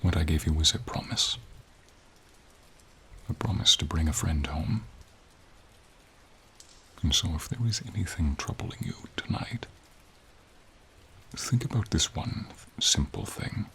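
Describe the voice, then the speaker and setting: A man voicing a gentle, encouraging silver dragon in a fantasy ASMR roleplay, with a husky, near-whispered voice and slow, tender, courtly phrasing, over rain. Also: extremely low pitch, heavily acted. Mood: warm, reassuring. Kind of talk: fantasy ASMR roleplay, soft intimate acting. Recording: steady rain behind the voice.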